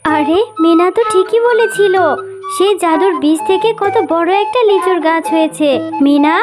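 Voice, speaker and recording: A loving, fussing fox mum from a cartoon , played by a woman, with a very high voice. She talks in a motherly, nagging way over clear music. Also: moderate pace. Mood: amazed, delighted. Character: busy, scolding but loving adult fox mother. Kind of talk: Bengali children's cartoon voice acting. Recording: music playing behind the voice.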